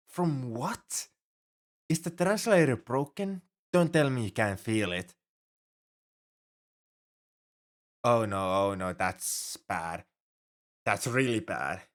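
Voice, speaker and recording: A man's voice: fairly low pitch, close to an everyday voice. A man playing an anxious, overprotective alien crewmate in an audio roleplay, speaking formally and earnestly in a fretful, easily flustered way. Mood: alarmed, insistent. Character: anxious, paranoid male alien crewmate fussing over human. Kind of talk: ASMR roleplay audio drama.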